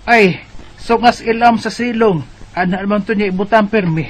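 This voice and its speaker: A woman playing a fussy, excitable village mother who scolds and exclaims, in a Bisaya radio drama with a Cebuano accent. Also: mid-range voice, normal speed, lightly acted. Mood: fussy, chiding. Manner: exclamatory, nagging.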